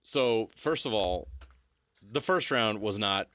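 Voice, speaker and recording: A man's voice: lowish voice, lively intonation, takes it slowly, pushed and bright, no echo, studio quality. An American man co-hosting sports talk, giving emphatic analysis in short punchy statements and questions. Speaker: sports radio co-host.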